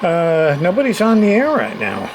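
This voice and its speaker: A man's voice: a little high, huge pitch swings, normal speed, vocal fry, background noise. A man giving a casual, unscripted gear demo, rambling along in plain-spoken, folksy grammar like a repairer showing his work. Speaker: radio repairer and online seller showing gear.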